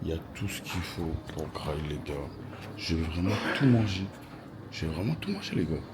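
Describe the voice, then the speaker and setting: A man in his twenties with a French accent talks casually to a handheld camera while wandering an airport lounge. His voice sits very low, and he keeps calling out to his viewers in loose slang. Constant crowd and ambient noise from the airport fills in behind him. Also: very animated, normal speed. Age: 20s. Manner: slangy, chatty, addresses viewers as "les gars". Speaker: vlogger. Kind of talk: casual vlog talk to camera.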